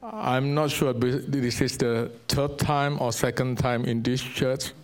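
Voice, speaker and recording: Slow-speaking Indonesian man preaching. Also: mid-range voice, music in the background. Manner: halting, simple non-native English. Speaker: visiting missionary preacher. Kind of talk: church sermon and ministry update.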